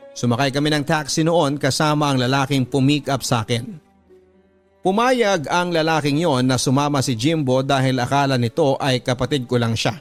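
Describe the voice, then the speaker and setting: A man with a Filipino accent reading a dramatic first-person story as a radio drama narrator, measured and earnest. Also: mid-range voice. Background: background music.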